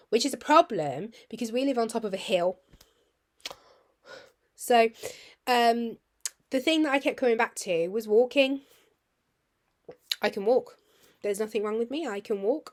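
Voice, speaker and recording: A British woman with a husky, hoarse voice, chatting casually to her viewers in a rambling, friendly way that sometimes drops to a near-whisper. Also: mid-range voice. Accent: British English. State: husky, hoarse after surgery tubes. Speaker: vlogger.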